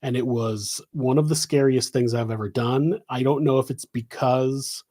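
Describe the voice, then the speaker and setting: Host, male, West Coast American accent, casual live Q&A, digressive and filler-heavy, mid-pitched. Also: steady tone, moderate pace, dark tone. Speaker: podcast host answering questions. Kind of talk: rambling live Q&A.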